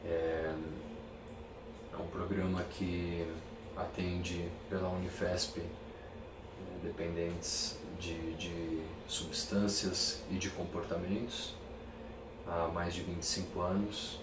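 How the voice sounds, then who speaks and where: Deep, flat-voiced researcher in an echoey room. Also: male voice. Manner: measured explanatory, filler "é" between points. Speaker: biomedical researcher. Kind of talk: documentary interview, expert explanation. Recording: echoey room, distant mic, noisy.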